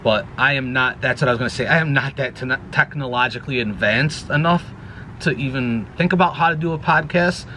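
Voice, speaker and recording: Bright-voiced man rambling casually outdoors. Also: medium pitch, brisk, a little hiss or hum. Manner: rambling, self-correcting, chatty. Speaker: YouTube vlogger. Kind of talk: casual channel update. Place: outdoors near a woodpile. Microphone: action camera.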